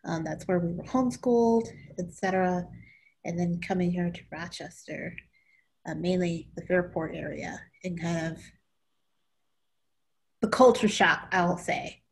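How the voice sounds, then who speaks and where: A woman in her thirties, a leadership coach, speaking candidly and animatedly on a video call from her home, sometimes trailing off softly. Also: fairly low pitch, measured pace, gravelly, pauses often, low-quality recording. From born in Okinawa, grew up in California. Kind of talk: panel discussion. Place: small home room indoors. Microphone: laptop camera on video call.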